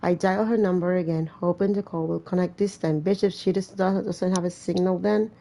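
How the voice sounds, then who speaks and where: A woman's voice: fairly low pitch, steady tone, moderate pace, creaky, dark tone, slight background noise, poor audio. A woman doing casual gameplay commentary, reading a story aloud with chatty, joking asides. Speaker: gamer playing and reading aloud a horror visual novel.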